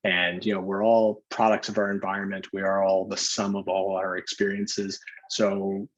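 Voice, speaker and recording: A man's voice: low voice, monotone, normal speed, muffled recording. A man hosting an online discussion in a conversational, somewhat wordy and roundabout way. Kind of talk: conversational event moderating.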